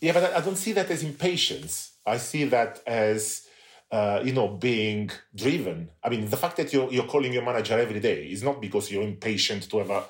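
A man's voice: fairly low pitch, brisk. A middle-aged man from Italy speaking in a casual podcast chat, hesitant and candid, often restarting his sentences. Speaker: podcast guest.

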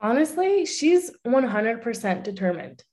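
A woman's voice: a little high, sing-song, a little mellow. Candid young woman with a French accent. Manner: candid, swears freely, talks in quick bursts. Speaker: voice actress and social media manager. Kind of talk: casual podcast interview.

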